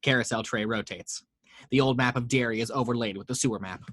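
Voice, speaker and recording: A man's voice: medium pitch, quick pace, fairly bright tone, husky, dry recording. A man reading the action lines of a screenplay aloud at a home table read, steadily, voicing the sound effects. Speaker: narrator reading action description.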